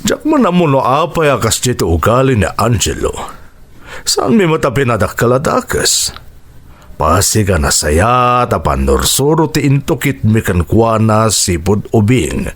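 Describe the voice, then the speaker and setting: A man with a Filipino accent acting a gentle, concerned elderly father in a radio drama, with drawn-out emotive phrasing. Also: medium pitch, sing-song.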